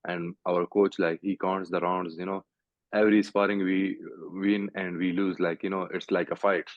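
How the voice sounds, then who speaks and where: A young Pakistani man, a fighter, answering interview questions over a video call in halting English full of "like" and "you know". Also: sits low, level tone, no echo. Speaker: guest fighter. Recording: tinny call audio, dry.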